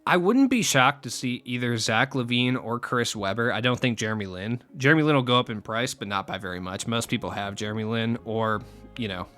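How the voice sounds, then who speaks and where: A man's voice: mid-range voice, expressive, brisk, fairly bright tone. A young man with a scratchy voice, casually rambling through game market tips as a channel host and often trailing off mid-thought. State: scratchy voice after a long recording session.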